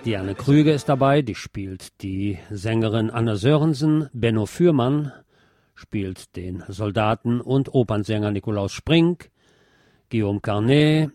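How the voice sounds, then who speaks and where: A man's voice: medium pitch, darkish tone, no echo, clean studio sound. A man hosting a radio show, presenting a film review in a semi-scripted narrating style.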